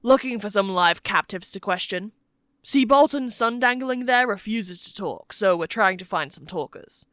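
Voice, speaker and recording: A woman's voice: mid-range voice, expressive, moderate pace, a bit bright, dry recording, clean studio sound, lightly acted. Crude rank-and-file medieval soldier, played by a woman narrator, blunt and rustic, for an adventure audiobook. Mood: matter-of-fact. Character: coarse balding soldier man, gruff and blunt.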